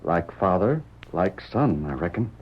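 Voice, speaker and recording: Deep-voiced, defeated Western rancher. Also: male voice, big pitch swings, unhurried, husky, heavily acted. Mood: resigned, ashamed. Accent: American Western. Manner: folksy, hesitant, resigned. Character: self-doubting, defeated male rancher in the Old West. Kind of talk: old-time radio western acting. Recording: muffled vintage radio drama.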